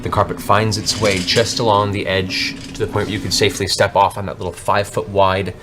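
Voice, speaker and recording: A low-pitched man runs a tabletop game as game master. He describes scenes in a dramatic storyteller's way. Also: little pitch movement, a little fast, background noise.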